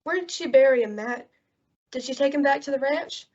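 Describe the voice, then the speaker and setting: A woman's voice: sits high, brisk, darkish tone, lightly acted. A woman acting an outspoken, concerned frontier friend in an old-time radio western drama. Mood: concerned, wry. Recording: dull, lo-fi audio.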